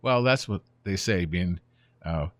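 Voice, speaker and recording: A man in his seventies whose first language is Ukrainian, speaking as an interview guest and explaining history in an unhurried, storytelling way. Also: sits low, lively intonation, fairly bright tone, dry recording. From born in Germany, raised in the United States. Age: 70s.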